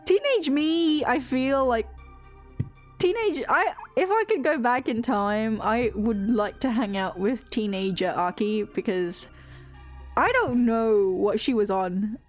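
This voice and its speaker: A young woman with a British accent chats on a live stream, rambling from one tangent to the next with frequent laughs and casual swearing. Her voice sits a bit high and she takes her time. Faint music runs underneath. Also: takes it slowly.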